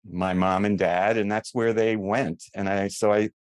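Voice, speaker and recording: A man's voice: lowish voice, fairly even intonation, measured pace, a little mellow, no echo, clean studio sound. Older New York man, chatty podcast guest. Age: 70s. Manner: chatty, rambling, self-deprecating humor. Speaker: guest orthodontist. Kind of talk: casual podcast conversation.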